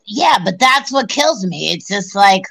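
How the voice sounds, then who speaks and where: Middle-aged woman on a phone call from her living room, blunt and sweary, rambles and restarts, mid-pitched voice. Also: expressive, a little slow, creaky, a bit bright. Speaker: guest caller. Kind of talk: casual video-call chat. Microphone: phone held close, video call.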